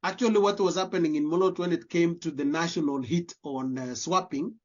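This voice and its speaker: A man's voice: on the high side, a little fast, darkish tone. A Kenyan man with a Kenyan accent, answering interview questions as a diaspora leader, earnestly repeating words as he searches for phrasing. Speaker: guest, diaspora community leader. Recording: dull lo-fi audio.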